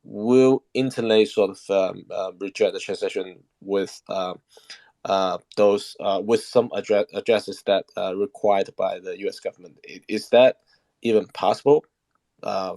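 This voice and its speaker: Man, low gravelly voice, dry sound, hesitant, lots of uh and um. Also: steady tone, dark tone, no room sound. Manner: halting, heavy fillers and restarts. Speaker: interviewer, community host. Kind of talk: asking questions in an online AMA.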